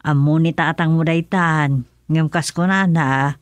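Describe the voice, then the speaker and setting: A sensible, low-voiced Ilocano village mother. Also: fairly even intonation, unhurried, dry recording. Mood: concerned. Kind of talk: radio drama acting, conversational.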